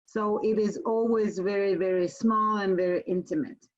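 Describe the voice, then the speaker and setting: A woman from Croatia with a Croatian accent gives a webinar sales presentation as a tour company presenter, speaking haltingly with frequent pauses. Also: medium pitch, steady tone. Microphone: online webinar call. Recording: muffled, band-limited webinar call audio.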